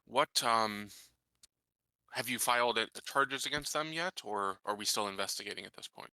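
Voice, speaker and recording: A man's voice: fairly low pitch, moderate pace, bright, forceful tone, smooth, dry recording. A man roleplaying a cautious, deferential incoming colony sheriff in a tabletop game, speaking politely and hesitantly.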